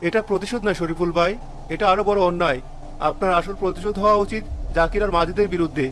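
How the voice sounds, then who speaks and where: A righteous cartoon villager voiced by a man with a high, hurried voice. He argues earnestly and morally. Faint outdoor noise is behind him. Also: fairly bright tone. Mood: earnest, reproachful. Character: righteous, reasoning villager counselling a vengeful ghost. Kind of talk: cartoon story acting.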